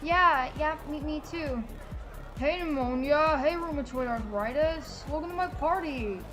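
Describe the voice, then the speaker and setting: High voiced girl acting out a stammery teen in a silly amateur radio play, music behind. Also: unhurried, fairly bright tone. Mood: awkward, eager. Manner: stammering, repeats words. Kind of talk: amateur comedic audio drama.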